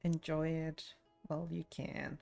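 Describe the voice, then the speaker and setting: A woman's voice: low voice, level tone, a little slow, gravelly. A woman streaming a video game, giving casual, laid-back commentary and reading game text aloud. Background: soft game music.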